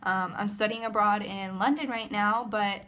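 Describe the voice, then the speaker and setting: A young American woman in her twenties chats casually to camera, rambling through her thoughts with frequent fillers and restarts. Her voice sits in an ordinary middle pitch. A faint hiss of room noise stays under the recording. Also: fairly even intonation, moderate pace, bright, forceful tone. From United States. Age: 20s. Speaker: vlogger, college student. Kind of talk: casual direct-to-camera vlog.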